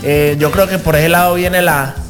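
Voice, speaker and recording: A man's voice: a little high, fairly even intonation, moderate pace, over music. Grateful Colombian man from Cali. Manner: grateful, humble, warm talk with many fillers. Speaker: guest singer. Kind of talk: radio interview.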